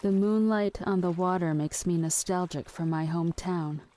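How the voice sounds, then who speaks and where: Mellow, dark-voiced melancholy woman, played by an actress. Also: lowish voice, normal speed, natural voice. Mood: wistful. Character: melancholy, dreamy, fatalistic woman on a ship at night. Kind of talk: theatrical audio play acting.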